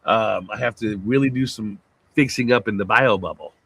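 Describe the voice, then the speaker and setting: A man with a gruff voice co-hosting a casual live talk show, loose and laughing, swearing freely. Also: lowish voice, normal speed, fairly bright tone. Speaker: co-host. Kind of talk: casual live-stream chat.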